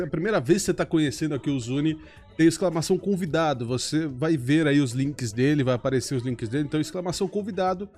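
A man's voice: a little high, a little mellow. A man hosting a podcast in a friendly, playful way, reading out plugs and asking a guest questions. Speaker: podcast host.

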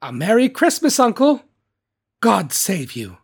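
A narrator voicing a cheerful, warm-hearted young nephew in a storybook, earnest and lively, sometimes pleading. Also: mid-range voice, measured pace.

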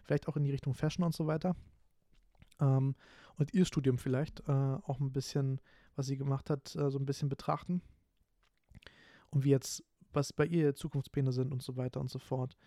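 Gravelly, dark-voiced young man rambling. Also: medium pitch, fairly even intonation, moderate pace, no room sound, studio quality. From Berlin, Germany. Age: 20s. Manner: rambling, many fillers and restarts. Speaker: podcast host. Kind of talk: casual solo podcast talk.

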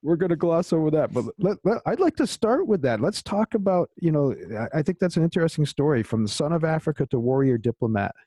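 A man's voice: on the high side, big pitch swings, fast talker, few pauses, mellow, no echo. A man hosting an interview show, friendly and eager, often restarting his sentences. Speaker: podcast host.

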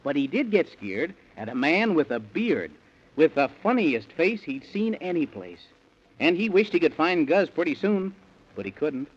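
A man's voice: fairly high pitch, very animated, moderate pace, husky. A man narrating a rhyming children's bedtime story in theatrical, sing-song 1930s radio style, on an old recording. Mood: eager storytelling. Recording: dull, band-limited 1930s radio recording.

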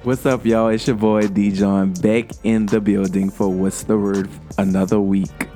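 A man's voice: fairly low pitch, level tone, measured pace, warm tone, faint music behind. A man co-hosting a chat show, laid-back as he runs through the gossip headlines. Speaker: co-host.

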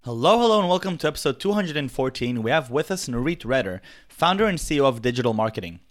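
Fast, lively male podcast intro over music. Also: medium pitch. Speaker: show host.

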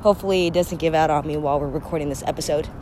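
A woman's voice: deep voice, fairly even intonation, racing, darkish tone. Young woman with a scratchy sick voice. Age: young adult. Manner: chatty and candid, frequent laughs and throat clearing. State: scratchy voice from a cold. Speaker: podcast host. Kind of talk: casual solo podcast monologue.

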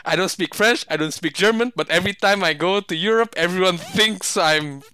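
Bright crisp young man chatting. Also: high-pitched, fairly quick, dry recording. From Indonesia. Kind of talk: casual group chat.

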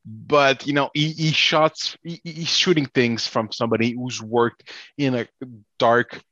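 A man's voice: mid-range voice, steady tone, pushed and bright, no room sound. A man co-hosting a casual film discussion, rambling and stammering as he works through his points. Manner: halting, stammering, rambling delivery. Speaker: podcast co-host. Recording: dull lo-fi audio.

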